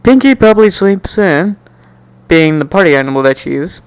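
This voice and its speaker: A man doing an amateur dramatic reading of a first-person story in an animated, jokey way, now and then stumbling and repeating words. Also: sits high, normal speed, smooth, no room sound. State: coughing, slightly sick. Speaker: amateur fan fiction reader.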